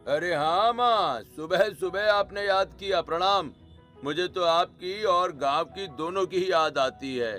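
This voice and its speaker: A man playing an agreeable middle-aged father-in-law in an animated family story, respectful and reassuring. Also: high voice, a little slow, faint music behind.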